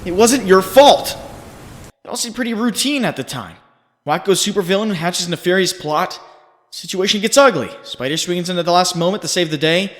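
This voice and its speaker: Man voicing a guilt-ridden inner voice, slightly high, sardonic, theatrical, comic dub narration, cavernous reverb. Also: lively intonation, moderate pace, a bit bright. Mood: anguished. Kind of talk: dramatic second-person narration.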